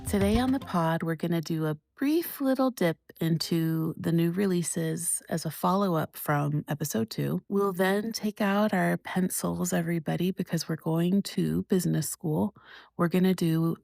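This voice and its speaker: A woman's voice: fairly low pitch, normal speed, creaky, darkish tone, no room sound. A woman in her thirties from the United States, hosting a casual solo podcast from her living room couch, talking chattily into her phone's voice memos and laughing often. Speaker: podcast host. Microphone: phone voice memo.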